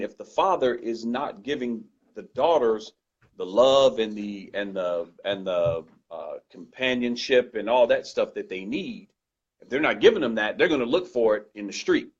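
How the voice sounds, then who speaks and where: Loud, husky-voiced man in discussion. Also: medium pitch, expressive, unhurried. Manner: earnest, emphatic, repeats points. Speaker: guest speaker. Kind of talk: conversational discussion. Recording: dull lo-fi recording.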